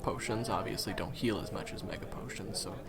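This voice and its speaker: A man playing a friendly, reassuring guide and former hunter in a fantasy game audio roleplay, talking conversationally to the listener with hesitant pauses. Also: lowish voice, crowd noise, lightly acted. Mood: casual, instructive.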